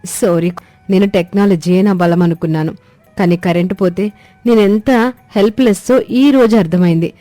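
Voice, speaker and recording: A woman's voice: fairly low pitch, moderate pace, mellow, no room sound, soft background music, close to an everyday voice. A woman voicing a polite, gadget-proud young city daughter-in-law in a cartoon story, mixing English words into her Telugu. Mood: apologetic.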